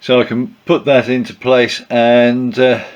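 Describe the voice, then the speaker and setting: An older man with a croaky voice from a cold, narrating his build in a home workshop with many pauses and fillers. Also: mid-range voice, dull audio. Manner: relaxed, hesitant with many fillers. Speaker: aircraft builder.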